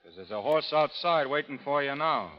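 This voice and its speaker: An actor playing a steady, wry frontier gunman with an American accent, understated and drawling, in old radio drama style. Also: on the high side, moderate pace, close to an everyday voice. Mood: easygoing, laconic. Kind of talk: 1930s-40s radio drama acting. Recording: dull vintage radio recording.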